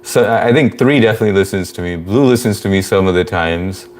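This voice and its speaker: A man in his thirties hosting a casual live stream, greeting viewers and reading their comments aloud in a laid-back, monotone way with frequent chuckles. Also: deep voice, fast, slight background noise.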